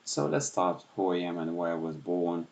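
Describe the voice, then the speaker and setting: A man from Ukraine with a Russian accent, speaking softly and unscripted at home in the early morning, with many pauses and fillers. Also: sits low, lively intonation, creaky. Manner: speaks softly with frequent hesitations. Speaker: YouTuber. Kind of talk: unscripted livestream talk.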